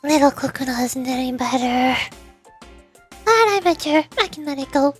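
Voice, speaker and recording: A woman's voice: sits high, animated, moderate pace, faint music behind. A woman voicing an earnest, polite little schoolteacher girl from an anime game, hesitant and easily flustered. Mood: polite, slightly miffed.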